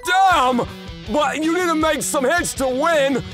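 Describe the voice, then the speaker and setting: Casting: male actor as boastful anime teen rival, high strained voice, bright and indignant, music underneath. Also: slow pace, exaggerated voice. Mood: outraged disbelief. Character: frantic, boastful teenage rival ballplayer. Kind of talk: manga dub character acting.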